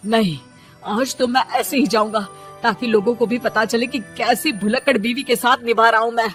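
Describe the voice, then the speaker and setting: A woman puts on an irate young husband from a comic story. He is fed up and scornful, scolding in a loud, sharp, high voice. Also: fairly even intonation, normal speed, faint music behind, natural voice.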